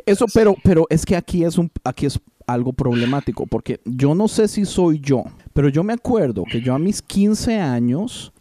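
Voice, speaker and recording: A man's voice: mid-range voice, warm tone, a little hiss or hum. A man, talking animatedly in a casual chat. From Costa Rica.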